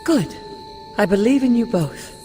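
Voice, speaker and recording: A woman's voice: on the high side, expressive, a little slow, mellow, soft background music. An actress playing the ghost of a stern but caring older woman mentor in a video game cutscene, speaking formally and with command. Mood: instructing.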